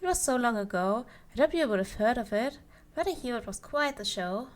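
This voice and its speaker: A woman performs a yandere Christmas elf in an audio roleplay, her voice a little high. She is playful and sweet on the surface, coyly menacing and possessive underneath, and she lingers over her phrases as she talks straight to the listener. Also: expressive, fairly quick, close to an everyday voice. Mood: bright, possessive. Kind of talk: ASMR roleplay monologue to the listener.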